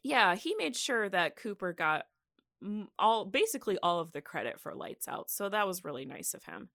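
A woman's voice: mid-range voice, sing-song, moderate pace, vocal fry, a bit bright, no echo, studio quality. A woman co-hosting a podcast, telling radio history in a casual, giggly, conversational way. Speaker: podcast co-host.

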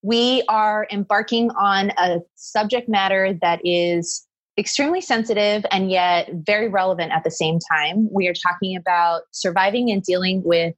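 A woman hosting a conversation podcast, speaking in a measured, earnest way as she introduces her guests. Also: mid-range voice, no room sound. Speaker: podcast host.

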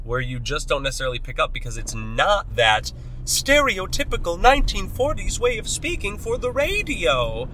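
A man's voice: normal speed, poor audio. A man talking casually and thinking aloud as a podcast co-host, recorded inside a moving car with road noise behind him. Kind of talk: casual chat about old radio shows. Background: steady engine and road rumble.

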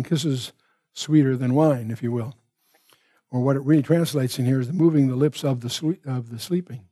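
An older man teaching the Bible, reading verses and explaining them in a measured way, restating his points as he goes. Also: medium pitch, warm tone, no room sound.

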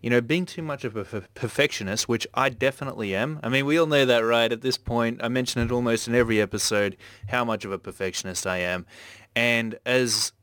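A man hosting a podcast, talking at length in a relaxed, reflective way with many fillers. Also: mid-range voice, a little fast, clear, resonant tone, no echo. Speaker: podcast host. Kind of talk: casual podcast chat.

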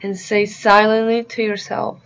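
Woman doing guided meditation, really slow and soothing, lots of pauses, kinda muffled lo-fi sound. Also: mid-range voice, little pitch movement, a bit bright. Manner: soothing, deliberate, pausing between short phrases.

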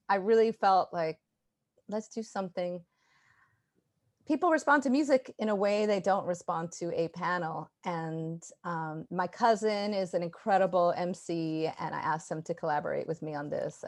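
Ny woman chatting on a video call, animated, lots of laughs, lowish voice. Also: normal speed. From New York, USA. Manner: animated, laughing often, restarts. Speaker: guest artist. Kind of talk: casual interview chat.

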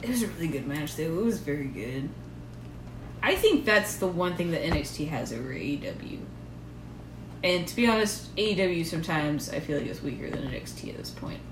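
A woman's voice: deep voice, expressive, fairly quick. A woman co-hosting a podcast, chatting in a rambling, giggly way with plenty of fillers. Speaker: podcast co-host. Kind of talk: casual chat about wrestling. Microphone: shared recorder. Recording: echoey room with background noise.

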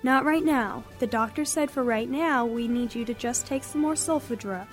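A woman's voice: a little high, normal speed, faint music behind, close to an everyday voice. A woman playing a reassuring nurse on a hospital ship in a World War Two radio drama.

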